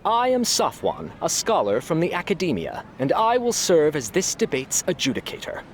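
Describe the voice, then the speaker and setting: A man's voice: on the high side, huge pitch swings, normal speed, slight background noise. A man playing a solemn, formal scholar who presides as adjudicator in a video game. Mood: ceremonious. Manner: formal, declamatory. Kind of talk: video game dub acting.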